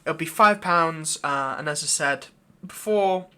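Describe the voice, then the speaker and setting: A man's voice: on the high side, measured pace, pushed and bright, clear, resonant tone. A man hosting his own channel, giving a casual, unscripted update in a rambling, hesitant way. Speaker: channel host, audio roleplay creator.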